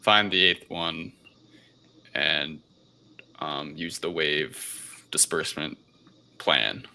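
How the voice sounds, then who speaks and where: A man with a very deep voice that still comes across bright and forceful. He talks as a podcast host in casual discussion, hedging and rambling loosely as he recaps a story. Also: fairly even intonation, extremely slow, creaky.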